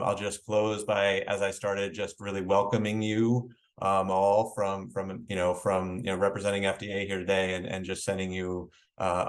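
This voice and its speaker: Male government official, low register, opening a conference. Halting, loosely phrased delivery with plenty of hedging. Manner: halting, hedging, frequent "you know". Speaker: regulatory official giving opening remarks. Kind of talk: conference welcome speech, loosely spoken.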